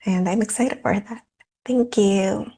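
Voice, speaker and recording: A woman in her twenties, a dental student, giving a prepared personal statement in a measured way with frequent pauses. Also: medium pitch, steady tone, dark tone, husky. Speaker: scholarship candidate, dental student.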